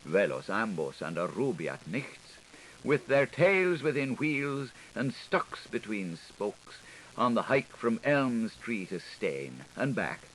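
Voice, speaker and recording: A man's voice: on the low side, very animated, takes it slowly, fairly bright tone, rough recording. A man reading experimental literary prose as an audiobook in a theatrical, playful style, with rhythmic delivery of wordplay. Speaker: audiobook reader. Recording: hissy older studio recording.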